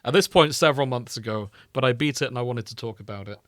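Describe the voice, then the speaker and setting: A man's voice: mid-range voice, quick pace, a bit bright, dry recording. A man talks as a podcast host in loose, casual banter. He teases his co-hosts and swears freely, and the sound is close and dry with no room around it. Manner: teasing, sweary.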